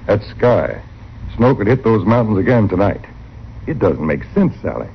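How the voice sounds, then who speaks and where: An actor playing an earnest frontier town doctor in a radio western, speaking persuasively and paternally with an American accent. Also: male voice, lowish voice, sing-song, normal speed, gravelly. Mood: urgent. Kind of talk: old-time radio western acting. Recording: muffled, noisy old radio recording.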